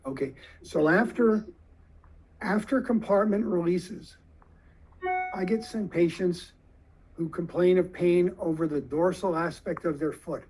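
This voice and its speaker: A man giving a lecture to surgeons, explaining slowly and deliberately with questions to the audience. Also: high-pitched, darkish tone, breathy edge, soft background music, low-quality recording.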